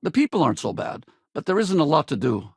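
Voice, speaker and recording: An easygoing male space pilot companion for a video game. His voice is husky and heavily creaky, recorded dry and close. He talks casually, loyal and wry, like someone used to a fight. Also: a little high, sing-song, fast talker, no echo, full character voice. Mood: candid, reflective. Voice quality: gravelly, husky. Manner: casual, colloquial, conversational.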